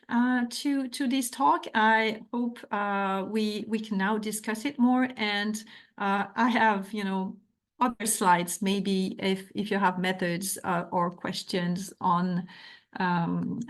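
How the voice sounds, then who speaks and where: A woman's voice: mid-range voice, steady tone, slow, a bit bright. A middle-aged woman from France with a French accent, giving an academic talk and answering questions, earnest and full of restarts. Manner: earnest, hesitant with frequent restarts. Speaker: academic author.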